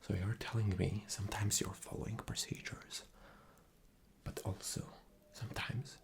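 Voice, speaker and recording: A man's voice: lowish voice, little pitch movement, normal speed, vocal fry. A man doing an ASMR roleplay as an arrogant, impatient, rude boss, with a condescending, demanding manner. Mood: impatient, scornful. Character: arrogant, impatient, rude male boss interviewing a job applicant.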